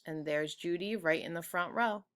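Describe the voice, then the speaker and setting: A woman's voice: on the low side, normal speed, clean tone. A woman reading a children's storybook aloud expressively, as if showing it to young listeners. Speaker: read-aloud narrator for children.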